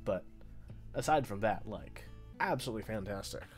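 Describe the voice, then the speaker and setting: Young man chatting with halting restarts. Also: fairly low pitch, animated, music in the background. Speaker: co-host on a video call. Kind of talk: casual live-stream chat. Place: small room at home.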